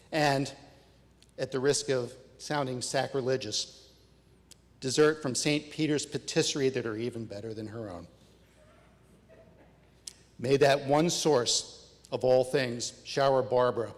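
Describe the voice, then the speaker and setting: A man delivering a prepared eulogy at a memorial service, measured and read from notes. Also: medium pitch. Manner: measured, reading from prepared remarks. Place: church sanctuary. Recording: church with long reverb.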